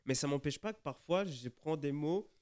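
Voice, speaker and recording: Smooth-voiced young man, earnest. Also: fairly high pitch, normal speed, a little mellow. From Italy. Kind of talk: casual chat.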